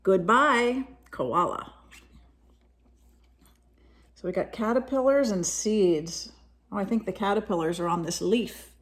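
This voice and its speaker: A woman's voice: mid-range voice, moderate pace, a little mellow. A woman teacher reading a storybook aloud to young children, expressively, with simple explanatory asides.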